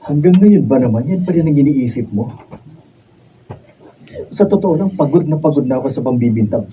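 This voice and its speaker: Radio drama, cold, weary Filipino husband, acted by a man, mid-pitched, off-mic with faint noise. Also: lively intonation, moderate pace, lightly acted. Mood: exasperated. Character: weary, fed-up husband gone cold toward his wife. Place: radio drama recording session. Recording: dull, distant, slightly noisy raw video.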